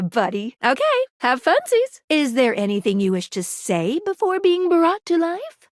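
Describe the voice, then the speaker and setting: A woman's voice: high-pitched, dry recording, studio quality. A woman playing a perky, upbeat young female guide in a dating video game, with a playful, quirky and earnest manner. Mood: casual, candid, then excited, bubbly. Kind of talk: video game character voice acting.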